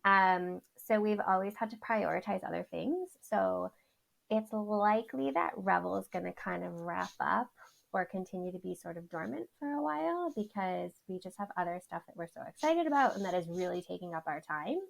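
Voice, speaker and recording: Chatty woman with a gravelly edge. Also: lively intonation, moderate pace. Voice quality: says her voice is high. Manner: chatty, laughs often between answers. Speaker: podcast guest. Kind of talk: casual interview chat.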